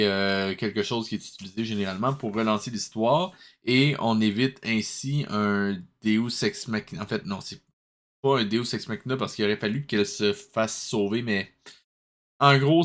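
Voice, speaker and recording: Sarcastic Quebec man giving casual commentary. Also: on the low side. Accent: Quebec French. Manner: sarcastic, analytical, jokey. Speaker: host of a comedic review show.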